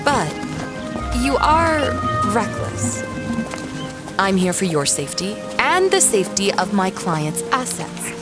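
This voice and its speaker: Composed businesswoman, lively pitch over music. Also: takes it slowly. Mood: dry, firm. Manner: formal, dry, firm. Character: composed, businesslike woman financing an expedition, tartly teasing. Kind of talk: audio drama acting. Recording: loud music behind the voice.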